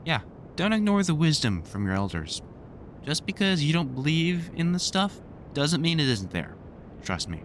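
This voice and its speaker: A man's voice: on the high side, big pitch swings, normal speed, slight background noise. A man playing a protective older vampire hunter and mentor in an audio roleplay, talking in a reassuring, wry and casually sweary way. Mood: earnest warning. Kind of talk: one-sided audio roleplay, conversational comfort scene.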